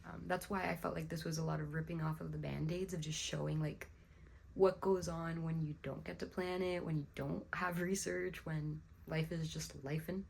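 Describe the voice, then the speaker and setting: Young woman vlogger, a little hoarse, low-pitched with vocal fry; musing, unscripted, throat-clearing delivery. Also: fairly even intonation, moderate pace, darkish tone, a little hiss or hum. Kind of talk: casual reflective vlog talk.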